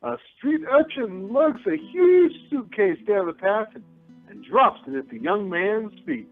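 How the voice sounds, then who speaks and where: A man's voice: sits high, big pitch swings, a little slow, heavily acted. A man acting a chatty, gossipy park-bench narrator of a radio play, theatrical and conspiratorial. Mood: intrigued. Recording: tinny narrow-band audio, faint music.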